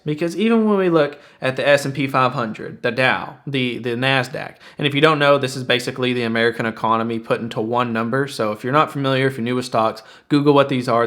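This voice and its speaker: A man in his twenties with a mid-pitched voice talks viewers through investing as the host of an online video. His delivery is casual and plain-spoken, leaning on repetition to drive points home. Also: fairly bright tone. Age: 20s. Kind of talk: explanatory investing commentary to viewers.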